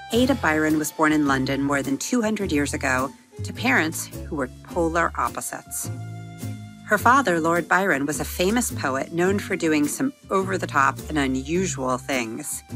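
A woman with a notably low voice narrates a children's bedtime story gently and expressively. Soft music plays clearly beneath her words. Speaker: podcast host and storyteller.

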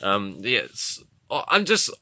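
A man narrates a casual vlog in a bright voice. He sounds awestruck and gushes haltingly, searching for words. The sound is close and dry, as on a handheld phone indoors. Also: on the low side, wildly swooping pitch, no room sound. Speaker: vlogger. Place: indoor room, voice behind the camera.

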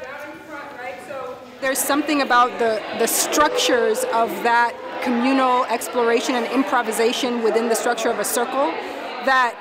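Woman leading a dance workshop over a crowd. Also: fairly high pitch. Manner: leading call-and-response. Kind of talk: workshop instruction. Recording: noisy room with crowd.

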